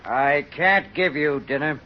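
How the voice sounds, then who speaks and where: An actor playing a cold, suspicious, unyielding innkeeper, curt and formal, in a 1930s radio drama. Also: male voice, a little high, unhurried, close to an everyday voice. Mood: guarded, refusing. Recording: dull lo-fi old radio recording.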